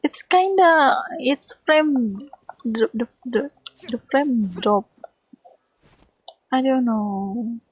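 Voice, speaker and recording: A woman chats with viewers as she streams a game, talking very slowly and often repeating words. Her voice is husky and strained from being hurt. It is a dry, dull lo-fi mic recording. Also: a little high, dry recording. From Indonesia. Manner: slow, halting, repeats words. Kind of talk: casual gameplay chat with viewers.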